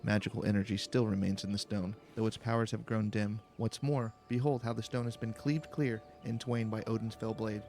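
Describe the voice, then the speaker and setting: A man reading video game dialogue aloud in a calm, slow, deliberate way for a let's play. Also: lowish voice. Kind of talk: calm, slow-paced read-aloud narration. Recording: faint background music. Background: faint game music.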